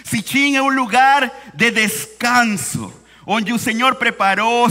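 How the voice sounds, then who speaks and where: A Venezuelan man preaches in a second language, his accent plain as he leans on repeated phrases and slows for weight. His voice sits high and bright. Long reverb from the church hall trails each line. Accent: Venezuelan Spanish. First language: Spanish. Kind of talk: sermon.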